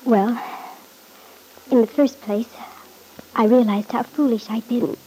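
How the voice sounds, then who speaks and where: Fragile, halting, mellow-voiced witness. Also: female voice, a little high, steady tone. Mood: sad, halting. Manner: hesitant, halting. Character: fragile, lonely young wife testifying under strain. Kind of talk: classic radio soap opera acting. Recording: noisy old radio drama recording.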